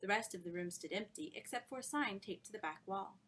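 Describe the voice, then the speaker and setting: A woman author reading her own novel aloud in an expressive storytelling style. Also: medium pitch, brisk.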